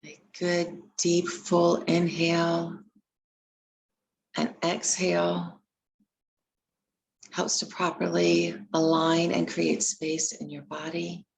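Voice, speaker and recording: A woman leading a guided meditation, giving gentle, unhurried instructions with long pauses between phrases. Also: on the low side, completely flat delivery, gravelly, slight background noise, low-quality recording.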